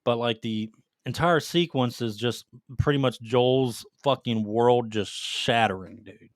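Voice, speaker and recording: A man's voice: medium pitch, measured pace, no echo, studio quality. A man co-hosting a casual gaming podcast, talking in a slangy, profane, enthusiastic way. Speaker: podcast co-host. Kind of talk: casual chat about video games.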